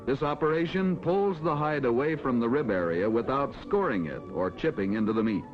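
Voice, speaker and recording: An American man reading scripted narration for a mid-century educational film in a formal, measured announcer style. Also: mid-range voice, expressive. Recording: dull vintage film soundtrack with music. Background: background music.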